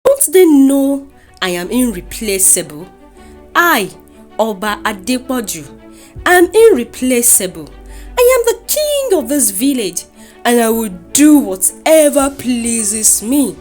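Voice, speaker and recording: A woman's voice: fairly high pitch, sing-song, slow pace, faint music behind. A woman voicing a brutal, boastful warrior king in a folktale, with a Nigerian accent and a pompous, commanding manner. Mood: arrogant. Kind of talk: animated folktale storytelling.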